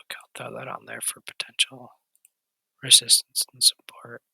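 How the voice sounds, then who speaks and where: Guy with a raspy voice talking over stock charts, dry close sound, kinda monotone. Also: mid-range voice, no echo, in a whisper. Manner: quick, loose, with fillers and restarts. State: hoarse, recovering voice. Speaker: stock trading channel host. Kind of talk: casual chart walkthrough.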